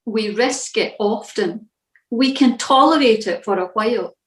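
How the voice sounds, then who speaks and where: A woman reading a scripted church devotional in a measured, reflective manner. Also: on the high side, roomy sound.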